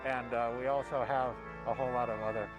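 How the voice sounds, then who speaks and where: A man's voice: mid-range voice, fairly even intonation, fast, dull audio, music in the background, close to an everyday voice. A man playing a theatrical, scheming winter warlock who hosts with rambling, mock-grand comic banter. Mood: chatty, gleeful. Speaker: co-host of a Halloween audio drama showcase. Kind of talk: improvised comic horror-host banter.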